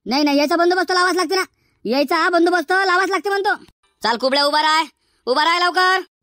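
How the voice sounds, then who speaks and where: A man voicing an exasperated village schoolteacher in a comedy cartoon, hectoring in a Varhadi Marathi accent through a pitched-up effect. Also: quick pace, sharp tone, dry recording, studio quality. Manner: hectoring, repetitive, threatening.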